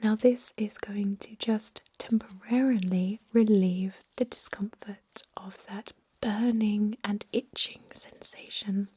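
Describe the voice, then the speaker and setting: Woman playing ancient female vampire medic, ASMR roleplay, husky breathy whisper, slow pace, calm, gentle, reassuring. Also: mid-range voice, no echo. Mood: soothing, reassuring. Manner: gentle, unhurried, hesitant with soft explanations.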